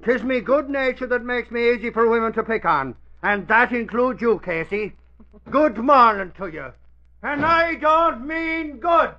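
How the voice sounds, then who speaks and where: An excitable Irish fellow in a 1940s radio drama. His voice sits high and leaps around animatedly, bright and folksy, full of good-natured bluster. The sound is narrow, like an old broadcast. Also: a little slow. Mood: indignant. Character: excitable, gullible, good-natured Irish man with mangled vocabulary. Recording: band-limited old radio recording.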